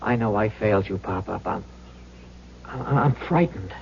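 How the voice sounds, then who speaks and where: An actor playing a timid, devoted young son who speaks hesitantly and anxiously in a radio drama. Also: male voice, fairly low pitch, moderate pace, gravelly. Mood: frightened. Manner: hesitant, stammering. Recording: muffled old radio recording, faint hum.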